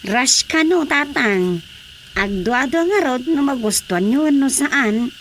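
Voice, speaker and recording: High-voiced, playful Filipino daughter. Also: female voice, lively intonation, slow pace, fairly bright tone, full character voice. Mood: fond, teasing. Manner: playful, exclamatory. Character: fond, teasing daughter of an older village chairman. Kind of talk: comedic radio drama acting. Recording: faint background noise.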